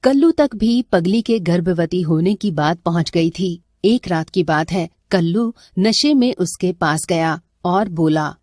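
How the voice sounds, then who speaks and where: Female storyteller for dramatic tales: unhurried, soft dark tone, dry close recording. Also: on the low side, lively intonation, no echo. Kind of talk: scripted dramatic story narration.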